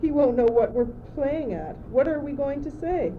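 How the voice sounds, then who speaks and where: A woman's voice: sits high, expressive, normal speed. A teenage girl playing a naive, anxious courtier who follows along in an amateur radio play, hesitant and plaintive. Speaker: student actor. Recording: dull, noisy old amateur recording.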